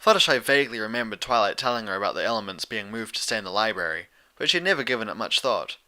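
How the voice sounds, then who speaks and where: A man's voice: lowish voice, lively intonation, very fast, pushed and bright. A man reading scripted story narration as an audiobook narrator of fan fiction.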